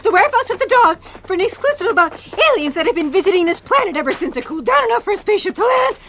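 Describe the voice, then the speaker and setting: High, bright, exasperated heroine. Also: female voice, very animated, very fast, slight background noise, full character voice. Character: impatient, sarcastic young woman paleontologist, easily exasperated. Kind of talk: scripted audio drama acting.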